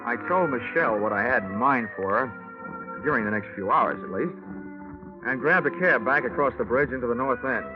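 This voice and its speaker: An American actor playing a wisecracking, hard-boiled insurance investigator in an old radio drama, wry, slangy and deadpan. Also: male voice, mid-range voice, normal speed, natural voice. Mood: wry narrating. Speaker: lead actor and narrator. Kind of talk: old-time radio detective acting. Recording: muffled old radio recording, music behind.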